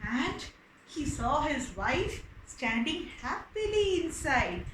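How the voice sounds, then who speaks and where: A woman with an Indian accent telling a children's story expressively and deliberately, repeating phrases for effect. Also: high-pitched, very animated, fairly bright tone, reverberant, slight background noise.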